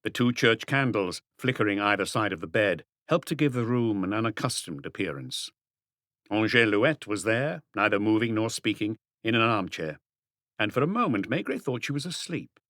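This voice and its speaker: Casting: male audiobook narrator, lowish pitch, lively intonation, literary crime-fiction reading, dry close recording. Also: normal speed, no room sound.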